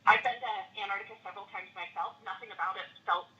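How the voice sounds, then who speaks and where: A woman's voice: mid-range voice, fairly even intonation, fast, a crowd in the background. A woman working as a travel agent, explaining things patiently and firmly to a customer over the phone. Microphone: telephone. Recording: dull, band-limited phone line.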